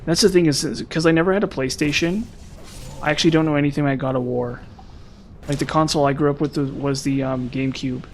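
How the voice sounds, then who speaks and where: A young man streaming a game, chatting casually with viewers in a rambling way, his voice slightly hoarse from long talking. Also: fairly high pitch, fairly even intonation, quick pace, slight background noise. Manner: rambling, lots of "like" and "um". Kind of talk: casual live-stream chat while gaming.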